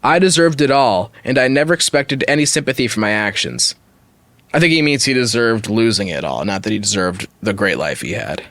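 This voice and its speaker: A man's voice: lowish voice, brisk, a bit bright, no echo. Dry-sounding man hosting a podcast. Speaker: podcast host. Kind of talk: reading an online story aloud with casual asides.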